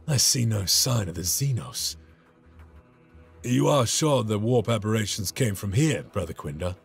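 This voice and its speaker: An actor playing a stern, zealous armored officer in a video game, with a formal, commanding, declamatory manner. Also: male voice, on the low side, lively intonation, unhurried, gravelly, breathy edge, exaggerated voice. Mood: wary. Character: stern, zealous male space marine lieutenant. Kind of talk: video game cutscene voice acting. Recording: game music behind the voice.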